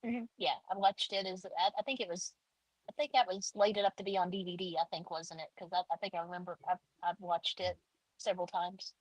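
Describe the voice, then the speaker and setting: A woman guest author in a casual interview, her voice a little husky. She speaks hesitantly, with frequent fillers. The sound is dry and close. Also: fairly low pitch, steady tone, brisk, dry recording, rough recording.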